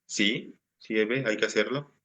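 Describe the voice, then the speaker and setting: A man's voice: fairly low pitch, measured pace, fairly bright tone. A man, patiently explaining assignments to his class over a video call. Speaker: teacher. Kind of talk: online class instruction.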